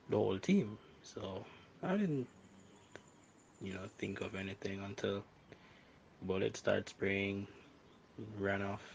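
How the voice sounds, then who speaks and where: A man playing a shaken, subdued witness in an amateur audio drama, talking haltingly. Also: on the low side, vocal fry, slight background noise, rough recording. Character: shaken male shooting witness, subdued staff boss.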